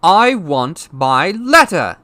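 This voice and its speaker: A man's voice: on the high side, big pitch swings, drawn-out words, pushed and bright, no room sound, pitched above the performer's own voice, full character voice. A man voicing an indignant, defiant young boy in an audiobook, demanding and insistent. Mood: furious. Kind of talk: audiobook character voice.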